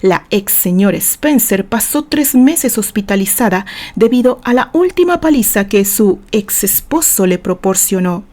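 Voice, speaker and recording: A woman's voice: mid-range voice, lively intonation, a little slow, few pauses, warm tone, close to an everyday voice. A woman voicing an assured male defense attorney in an audio novel, arguing formally and emphatically like a courtroom orator. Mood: assured, pointed.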